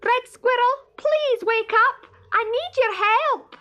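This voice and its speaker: A woman's voice: very high voice, sing-song, a little slow, fairly bright tone, highs cut off, pitched above the performer's own voice, full character voice. A woman voicing a small, worried young male stoat in a children's storybook, anxious and fretful. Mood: shouting.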